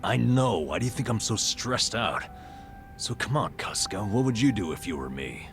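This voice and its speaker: Middle-aged male vagabond, very low voice, boastful and stressed, dramatic storyteller, game cutscene, music behind. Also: big pitch swings, normal speed. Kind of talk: video game cutscene acting.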